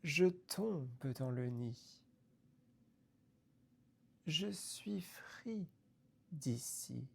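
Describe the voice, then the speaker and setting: A woman reciting poetry theatrically, deliberately, in short halting phrases that sometimes fall away toward a whisper. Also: low voice, lively intonation, vocal fry, husky.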